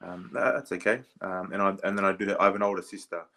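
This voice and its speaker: Australian man in his thirties, very deep voice with a bright edge, hesitant casual interview talk. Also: level tone, quick pace. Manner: hesitant, many fillers and restarts. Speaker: podcast guest, former rugby league player.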